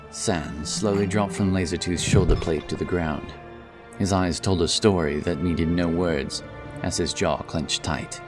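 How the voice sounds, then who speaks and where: Male narrator, very low pitch, solemn, dramatic fantasy storytelling, prominent music behind.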